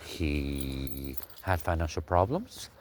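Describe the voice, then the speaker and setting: Man, 40s, deep voice, halting personal testimony. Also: expressive, a little mellow, a little hiss or hum. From Kuwait. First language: Arabic. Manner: halting, with frequent pauses. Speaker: speaker telling his life story.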